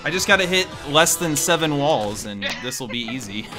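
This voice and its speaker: A man's voice: a little high, lively intonation, moderate pace, rough recording. A man in his twenties chatting casually as a game streamer, with slangy, offhand commentary. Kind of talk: casual gaming banter and commentary. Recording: game music and engine noise behind. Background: video game music and racing engines.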